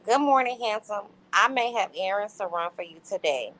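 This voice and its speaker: A woman's voice: medium pitch, expressive, normal speed, a bit bright, rough recording. A woman hosting a livestream, reading messages aloud and chatting casually in commentary. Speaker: livestream host.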